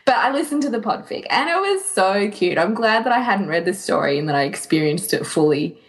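Giggly woman co-host, medium pitch. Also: very animated, a little fast. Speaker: podcast co-host. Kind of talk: casual chat, giving recommendations.

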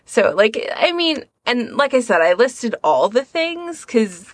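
A woman's voice: on the high side, big pitch swings, a little slow, no echo. A woman co-hosting a podcast, explaining things in an informal, conversational way. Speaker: podcast co-host. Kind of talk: casual podcast chat.

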